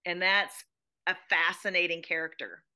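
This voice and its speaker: Bright, emphatic American woman. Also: fairly low pitch, moderate pace, clean tone. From United States. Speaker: guest playwright and educator. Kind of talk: casual interview chat.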